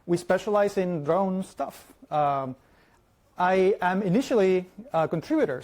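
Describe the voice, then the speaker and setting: A man in his thirties with a Mexican Spanish accent, a native Spanish speaker, giving a fast, lively conference talk and often asking the audience questions. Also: high-pitched. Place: large lecture hall.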